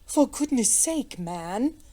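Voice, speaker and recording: A brash young aristocrat from a storybook, voiced by a woman. He speaks in an exasperated, admonishing manner, emphatic yet soft-edged and mellow. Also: mid-range voice, normal speed, close to an everyday voice.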